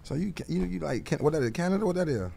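A young man from hosting a casual podcast interview, loud and teasing, often repeating his words. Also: fairly high pitch, animated, very fast, vocal fry, a little hiss or hum. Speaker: podcast host. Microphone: desk mic on boom arm.

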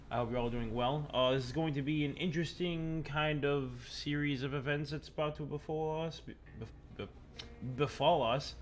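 A man's voice: fairly high pitch, slight background noise. A man streaming a game, losing his voice after the flu, chatting casually and poking fun at himself. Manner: chatty, self-mocking. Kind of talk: casual gameplay commentary.

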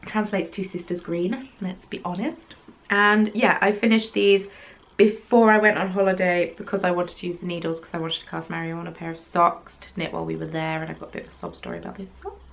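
Young woman, craft-room vlog, gravelly fry, chatty and self-deprecating, rambling with ums. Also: lowish voice, steady tone, a little fast. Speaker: knitting podcast host. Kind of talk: casual chat. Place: small craft room at home, yarn on the wall behind.

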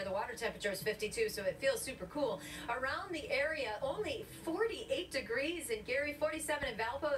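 A woman's voice: on the high side, fairly bright tone. Female American TV meteorologist, middle-aged, conversational live delivery, picked up from a distance with slight noise. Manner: chatty, conversational presenter delivery. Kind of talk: live weather forecast and interview. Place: outdoors in a store parking lot. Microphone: handheld reporter mic, heard off a filmed TV screen. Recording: distant TV speaker re-recorded, noisy.

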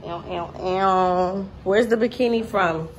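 A woman's voice: mid-range voice, takes it slowly, rough recording. A young woman in her twenties vlogging casually on her phone during a trip, chatting to viewers as y'all. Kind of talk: casual travel vlog chat. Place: restaurants, streets and a rental apartment on a trip. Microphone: phone held at arm's length. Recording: loud music and crowd behind.

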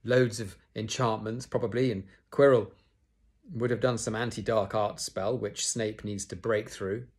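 A man's voice: fairly low pitch, steady tone, measured pace, darkish tone. A man voicing an earnest schoolboy who talks urgently and breathlessly.

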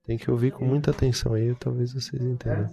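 A man with a Brazilian accent interpreting a religious talk live, in a halting way with restarts and pauses. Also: medium pitch, little pitch movement, a crowd in the background. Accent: Brazilian Portuguese. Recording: clear background noise.

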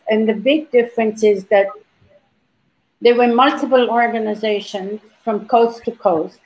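A woman's voice: fairly high pitch, low-quality recording. An older woman from Libya on a video call panel, recounting her activism in an emphatic, storytelling way with frequent restarts. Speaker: organization founder, panelist. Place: indoor home room.

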